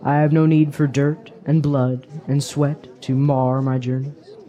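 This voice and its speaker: A man's voice: mid-range voice, level tone, a little slow, mellow, close to an everyday voice. A man playing a carefree young hedonist in a fantasy radio drama, wry and flowery in his phrasing. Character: carefree young hedonist drinker, teasing and philosophical.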